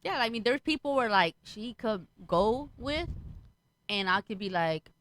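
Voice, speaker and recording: A young woman comedian talks in a loud, brash way, swearing freely and breaking into laughs. Her delivery is casual and lively, like a guest riffing on a live stream. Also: fairly high pitch, unhurried, slight background noise. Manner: loud, profane, laughs often.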